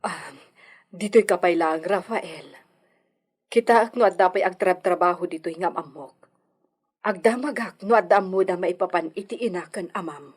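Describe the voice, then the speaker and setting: A woman's voice: on the low side, normal speed, darkish tone, slightly rough. A woman playing an exasperated, scolding village mother in an Ilocano radio comedy drama, speaking with an Ilocano accent. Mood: concerned.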